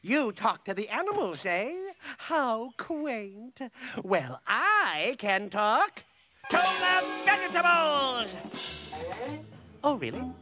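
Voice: medium pitch, very animated, takes it slowly, over loud music. An actor voicing a pompous, needy, eccentric little man who calls himself king of the vegetables, theatrical and melodramatic in a cartoon. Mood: smug, pompous. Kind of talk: cartoon voice acting.

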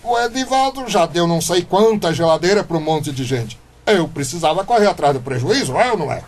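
A man's voice: fairly high pitch, expressive, fairly quick. A man playing a stubborn, scheming small-town candidate in a comic radio drama, with a Brazilian accent and exaggerated bluster. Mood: smug, scheming.

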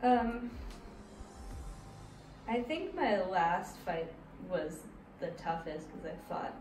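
A woman's voice: fairly low pitch, big pitch swings, slow, a little mellow. A woman in her twenties with an American accent, answering casually as an interview guest. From United States. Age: 20s. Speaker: interview guest, Muay Thai fighter. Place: small hard tiled room indoors. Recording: echoey tiled room, distant mic, background music.

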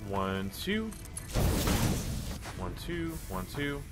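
A man casually narrating his own building game, talking to himself with wry asides and a slightly hoarse voice. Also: on the high side, big pitch swings, extremely slow. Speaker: let's play host. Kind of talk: casual gameplay commentary. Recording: voice-over with loud game music behind.

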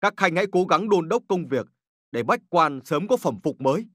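A man voicing a dignified, exacting but gracious emperor in a historical cartoon, formal and deliberate in his authority. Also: on the high side, very animated, a bit bright, no echo, studio quality. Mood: stately.

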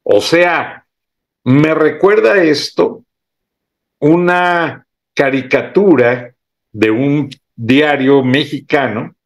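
A middle-aged man giving indignant political commentary on camera, pausing to read legal text aloud in a slow, deliberate way. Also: on the high side.

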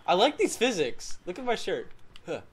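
A man casually chatting and joking while playing a video game with a friend, excitable and swearing often. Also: high voice, moderate pace, slight background noise. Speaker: let's play gamer. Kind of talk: casual gaming chat.

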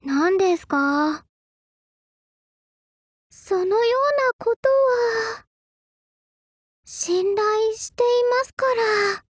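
A woman voicing a polite, patient young sword-spirit maiden in a Japanese mobile game, with soft, formal and devoted delivery. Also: very high-pitched, extremely slow, pauses often, dry recording, clean studio sound, full character voice. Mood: wistful, uneasy.

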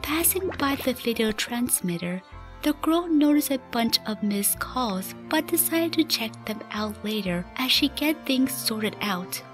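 Higher-pitched woman narrating over music. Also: expressive, unhurried. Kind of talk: scripted story narration for a radio play.

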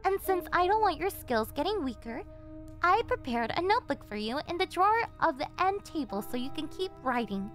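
Yandere girlfriend, very high voice, sugary and controlling, obsessive captor, ASMR roleplay over music. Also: sing-song, fairly quick, a bit bright, exaggerated voice. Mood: condescendingly soothing. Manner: cloying, coaxing, with breathy giggles and gasps. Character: possessive, obsessive young yandere girlfriend, sweetly menacing captor.

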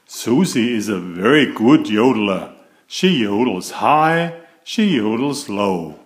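A man reading a picture book aloud to young children in an expressive, deliberate storytelling manner. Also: fairly low pitch. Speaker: picture-book reader and author of children's stories.

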